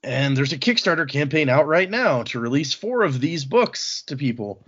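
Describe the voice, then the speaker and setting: A man's voice: fairly high pitch, very animated, normal speed, a bit bright, breathy edge, lo-fi sound. A man chatting casually as a podcast host.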